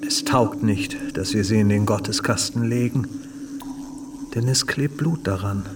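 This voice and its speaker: An actor playing a stern, accusing high priest in a radio drama, with a cold declamatory delivery. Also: male voice, unhurried, heavy background noise, lightly acted. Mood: cold, dismissive.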